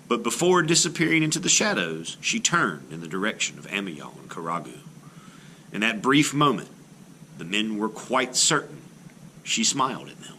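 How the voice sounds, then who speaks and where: A man reading a children's story aloud in an expressive, theatrical style, like a teacher to a class. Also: on the low side, slight background noise, low-quality recording. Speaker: art teacher reading a picture book aloud.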